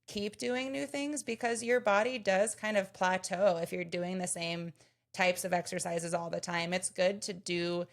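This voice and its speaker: A woman's voice: on the low side, fairly even intonation, unhurried. A woman in her thirties, a podcast co-host, chatting casually and earnestly, explaining her points with everyday examples. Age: 30s. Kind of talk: casual chat.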